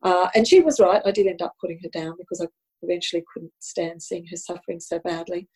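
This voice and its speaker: A woman's voice: on the low side, fast talker, a little mellow, husky, low-quality recording. A woman, whose was Italian, telling a personal story in an earnest, reflective way, often repeating words as she goes. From Australia. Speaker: guest recounting personal story. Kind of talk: casual storytelling.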